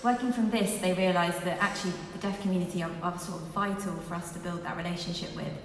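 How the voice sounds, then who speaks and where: Low-voiced woman presenting in an echoey hall. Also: fairly even intonation, quick pace, recorded from a distance, slight background noise. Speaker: co-presenter at a launch event. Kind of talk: live presentation to an audience. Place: large museum hall.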